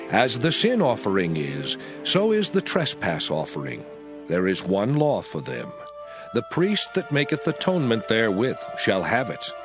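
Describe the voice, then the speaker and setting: A man narrating Bible scripture in a solemn, measured reading style. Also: medium pitch.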